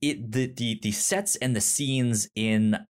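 A man co-hosting a casual film discussion, thinking aloud haltingly and often repeating words as he works toward his point. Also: mid-range voice. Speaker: podcast co-host.

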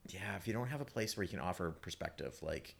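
A man speaking as a guest in a casual panel discussion, halting and repeating words, with emphatic turns. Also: low-pitched, lively intonation, gravelly, slightly rough.

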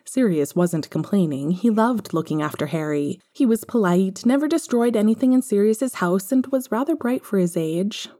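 A woman's voice: medium pitch, animated, moderate pace, hardly any pauses, warm tone. A woman reading a story aloud in a wry, conversational narrating style. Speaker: podfic reader. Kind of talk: expressive scripted fiction narration.

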